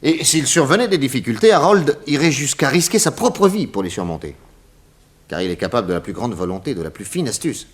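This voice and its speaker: Actor as emphatic, swooping detective boss. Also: male voice, medium pitch, big pitch swings, natural voice. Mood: emphatic, explanatory. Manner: emphatic, didactic, theatrical, with dramatic pauses. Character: confident male detective boss explaining crimes to his assistant. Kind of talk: 1960s French radio detective serial acting.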